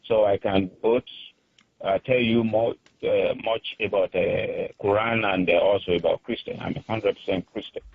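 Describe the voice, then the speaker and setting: A man from Nigeria with a Nigerian accent, calling in to a radio show, earnest and halting with many fillers. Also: fairly low pitch, steady tone, vocal fry, no room sound. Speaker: caller. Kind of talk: call-in radio talk. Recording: tinny phone line.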